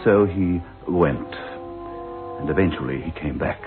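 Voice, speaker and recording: An actor playing a measured, wry narrator in a radio drama. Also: male voice, very deep voice. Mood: measured, suspenseful. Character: measured, wry storyteller of a ghost tale. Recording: phone-like old radio broadcast. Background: music.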